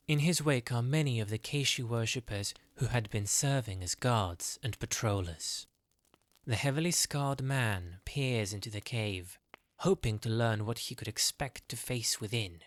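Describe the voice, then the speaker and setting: A man's voice: on the low side, no room sound. A man narrating a fantasy audio drama from a script, recorded on a close studio mic. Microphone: close, dry studio mic.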